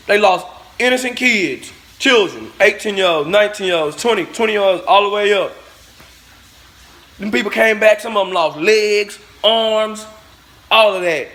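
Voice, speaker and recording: A man with a high voice delivers a fired-up vlog rant, blunt and profane. He lectures his viewers directly, driving each point home like a sermon. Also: bright, forceful tone. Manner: blunt, profane, preachy, talks straight at the viewers. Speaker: vlogger. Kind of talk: ranting monologue to camera.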